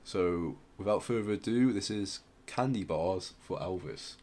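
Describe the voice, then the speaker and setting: A man's voice: sits low, measured pace, a little mellow. A man hosting a podcast, casually explaining music in a hesitant, rambling way. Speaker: podcast host.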